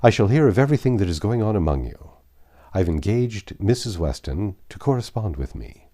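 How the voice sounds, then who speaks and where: A man playing an embarrassed, earnest young gentleman suitor in a classic novel reading, speaking politely and haltingly. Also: on the low side, sing-song. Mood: warm, eager.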